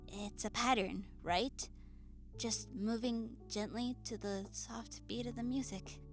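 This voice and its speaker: A woman voicing a shy, nerdy young goblin woman in a romantic audio roleplay, hesitant, stammering and soft-spoken. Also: on the high side, fairly even intonation, slow. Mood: shy, easing. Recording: music behind the voice. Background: soft music.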